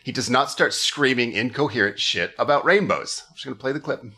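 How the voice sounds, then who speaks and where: A man's voice: medium pitch, expressive, a little fast, pushed and bright. A man hosting a comedy podcast, telling stories casually and irreverently, with swearing and mock quotes. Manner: irreverent, profane, mock-quoting. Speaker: podcast host. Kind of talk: casual comedic storytelling chat.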